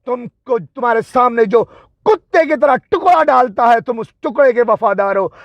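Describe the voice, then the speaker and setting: A man's voice: very high voice, expressive, moderate pace, no echo. A man delivering an angry, confrontational political and religious tirade, taunting with rhetorical questions and emphatic repetition.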